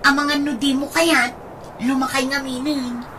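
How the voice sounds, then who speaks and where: A woman's voice: high-pitched, level tone, normal speed, a crowd in the background, natural voice. A woman playing a frank, teasing younger sister in a comedy radio drama. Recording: noticeable background noise.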